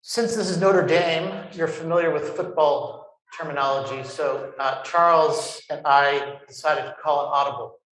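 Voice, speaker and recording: Rabbi guy hosting a panel, slightly high voice, dry wit, roomy hall echo. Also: steady tone, a bit bright. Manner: wry, deliberate, playful metaphors. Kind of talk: panel moderation. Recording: echoey lecture hall.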